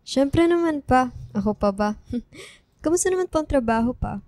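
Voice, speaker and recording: A woman's voice: sits high, expressive, moderate pace, darkish tone, no echo. A woman playing a sweet, playful young student daughter in a radio drama, affectionate, teasing and encouraging.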